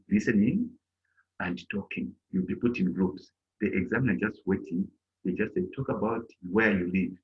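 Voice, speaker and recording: Middle-aged male teacher, animated, lo-fi call audio. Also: lowish voice. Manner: animated, acts out little dialogues in a raised voice. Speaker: German language teacher. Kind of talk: online class lesson. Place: indoor room on a video call. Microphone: laptop mic on a video call.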